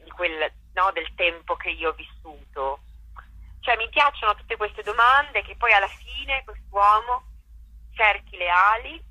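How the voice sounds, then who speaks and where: A woman's voice: mid-range voice, sharp tone, husky, dry recording, rough recording. A woman talking casually and effusively in an interview as a guest, searching for words and checking she is followed. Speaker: guest, actress presenting a stage show. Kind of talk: casual spontaneous interview talk.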